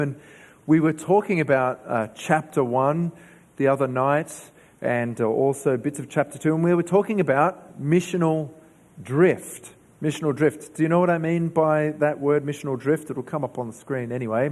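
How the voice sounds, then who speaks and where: A man with an Australian accent, a pastor preaching a sermon in a conversational way and asking his congregation questions. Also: fairly high pitch. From New South Wales, Australia. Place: church hall. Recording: church hall reverb.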